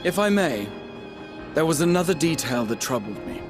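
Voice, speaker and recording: An actor playing a polite, concerned companion who raises a troubling detail in a game cutscene. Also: male voice, fairly high pitch, big pitch swings, normal speed. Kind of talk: video game cutscene acting. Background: orchestral music.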